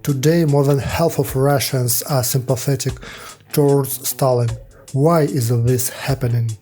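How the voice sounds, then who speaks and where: Man narrating in a flat, even voice. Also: medium pitch, soft background music. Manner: measured, even reading of facts and figures. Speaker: documentary narrator. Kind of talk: scripted documentary narration.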